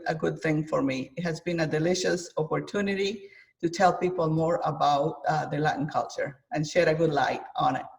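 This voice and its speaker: A woman from Guatemala, a chef and author, speaking thoughtfully in an interview with frequent pauses and fillers. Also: low voice, recorded from a distance. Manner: hesitant, many fillers, thoughtful. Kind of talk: interview conversation.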